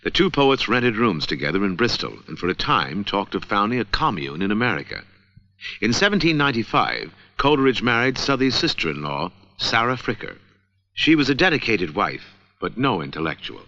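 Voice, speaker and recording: A man's voice: fairly low pitch, sing-song, normal speed, sharp tone, dry recording. A man giving scripted narration for an educational film. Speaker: documentary narrator. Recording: dull old 16mm film soundtrack.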